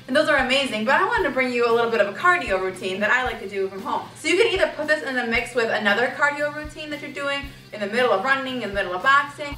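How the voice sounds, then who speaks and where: A woman's voice: high voice, bright, forceful tone, distant mic. A woman in her thirties giving upbeat workout instruction and counting out reps, recorded in a home gym by a camera across the room. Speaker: fitness trainer. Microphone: camera mic from across the room. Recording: echoey room, voice from afar, faint music.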